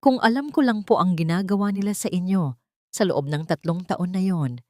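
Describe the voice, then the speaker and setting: A woman's voice: fairly low pitch, normal speed, a little mellow, no room sound, close to an everyday voice. A woman voicing an elderly male steward, devoted and remorseful, speaking with formal, earnest deference in an audiobook drama. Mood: apologetic.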